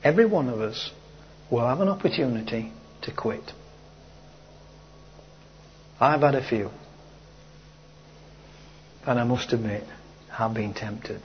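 A man preaching a sermon to his congregation, speaking slowly and emphatically with repeated phrases, while he is losing his voice. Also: mid-range voice, dull audio, a little hiss or hum. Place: church hall with a congregation.

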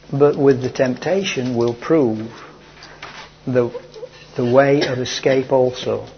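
A man preaching a sermon to his congregation, speaking slowly and emphatically with repeated phrases, while he is losing his voice. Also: medium pitch, muffled recording, slight background noise. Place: church hall with a congregation.

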